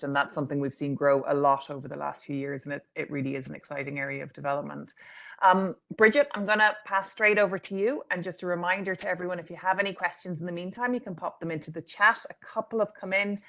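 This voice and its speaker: A woman moderates a webinar over a video call, chatting in a friendly, informal way and restarting her sentences. Her voice has a lot of vocal fry. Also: deep voice, a bit bright.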